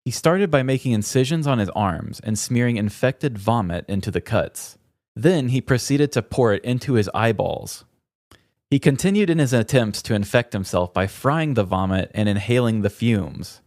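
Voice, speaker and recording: A man's voice: mid-range voice, lively intonation, normal speed, a little mellow, no room sound, studio quality. A man with an American accent co-hosting a podcast, telling stories and chatting casually with a wry, joking manner. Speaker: podcast co-host. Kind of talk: casual chat and storytelling.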